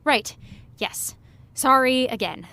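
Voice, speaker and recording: An actress playing a flustered, evasive, wry high school senior in a naturalistic audio drama. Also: female voice, animated, slow pace, gravelly, sharp tone, full character voice. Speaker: actor in scripted fiction podcast.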